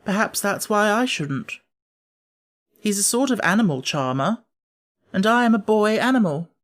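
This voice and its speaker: A man voicing a frail, spoiled, imperious young boy in a storybook, speaking in a petulant, deliberate way. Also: high voice, animated, fairly bright tone, voice pushed up. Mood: thoughtful, slow.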